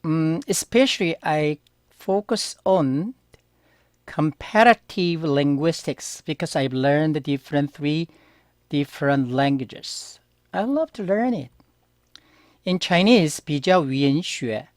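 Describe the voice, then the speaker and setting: A middle-aged Korean man teaches beginners in English, which is not his first language, and he has a Korean accent. He speaks very slowly and deliberately, leaning on key words. The sound is dry and close, with almost no room around him. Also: fairly high pitch, dry recording. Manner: slow and careful, stressing key words, asking learners questions.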